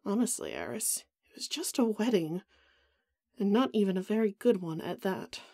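Haughty, mellow-voiced goddess, played by a woman. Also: mid-range voice, very animated, normal speed, no echo, clean studio sound. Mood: indignant. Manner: haughty, melodramatic, whiny. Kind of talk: audio roleplay drama acting.

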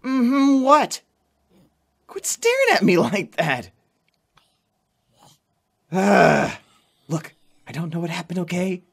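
A man's voice: high-pitched, big pitch swings, takes it slowly, creaky, pauses often, full character voice. A man with an American accent playing an earnest, jittery, caring young survivor in a zombie apocalypse audio drama. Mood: flustered, defensive. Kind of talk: fan audio drama acting.

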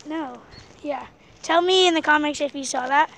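A woman chatting casually in an outdoor vlog, filming by a pool on a handheld phone, loose with many fillers and dropping quiet while she searches. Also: high-pitched, normal speed, creaky, a little hiss or hum. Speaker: vlogger. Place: outdoors by an abandoned pool on rural land. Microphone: handheld camera phone filming the water.

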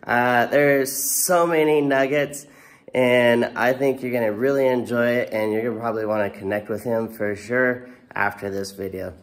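A man's voice: medium pitch, fairly even intonation. A man in his forties hosting, giving an upbeat intro and asking interview questions over a video call. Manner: enthusiastic, quick, with fillers. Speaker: host. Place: indoor room, video call from a home studio. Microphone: webcam on video call.

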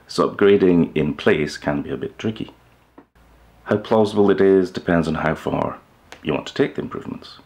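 A man in his fifties with an extremely low, creaky voice talks to camera in an instructional style. He explains in a measured way, pausing as he goes, seated indoors in a living room. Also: darkish tone. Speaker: presenter of a hi-fi DIY video channel.

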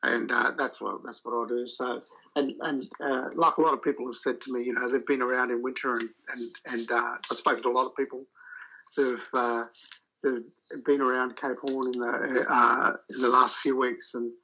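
A man talking about his sailing voyage as an interview guest, telling it in a halting, loose way. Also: medium pitch, little pitch movement, sharp tone, muffled recording, a little hiss or hum. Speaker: solo sailor guest. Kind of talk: casual interview chat.

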